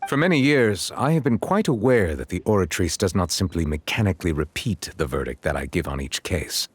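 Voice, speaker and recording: An actor playing a solemn, dignified chief judge in a video game, speaking in a formal, measured and courteous way. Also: male voice, sits low, lively intonation, noisy background. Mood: grave. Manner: formal, measured, erudite phrasing. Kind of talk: scripted game dialogue.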